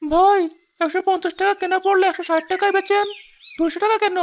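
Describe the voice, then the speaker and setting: A woman's voice: very high voice, fairly even intonation, quick pace. A woman voicing an indignant woman shopper in a cartoon who protests sharply.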